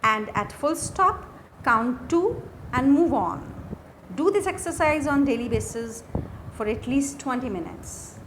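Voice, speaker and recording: Slow-speaking Indian woman teacher, noisy recording. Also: high-pitched, expressive. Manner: deliberate, repeats key phrases. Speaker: teacher, lecturer. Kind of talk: instructional lesson explaining slides.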